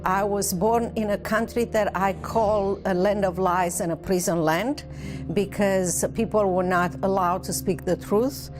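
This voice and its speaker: A woman from Romania, earnestly recounting her own life in an interview and pausing now and then to find words. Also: mid-range voice, steady tone, over music. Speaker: interview guest. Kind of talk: recounting personal testimony.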